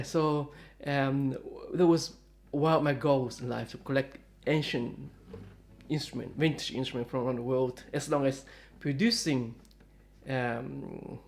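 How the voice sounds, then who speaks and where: Fifties male host, rambling and friendly, mid pitch, lots of fillers. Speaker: guitar dealer and channel host. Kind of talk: casual chat to camera. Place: home in Melbourne, Australia.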